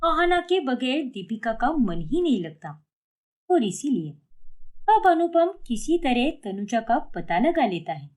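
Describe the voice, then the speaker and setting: A woman's voice: high voice, sing-song, unhurried, darkish tone. A woman narrating a scripted cartoon story as its storyteller.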